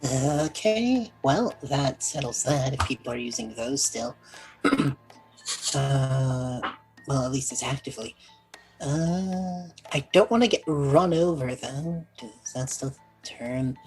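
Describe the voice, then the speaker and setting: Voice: mid-range voice, lively intonation, slow pace, a little mellow, low-quality recording. A tabletop game player chatting casually and loosely describing what their character does. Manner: loose, describes character's actions in third person. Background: background music.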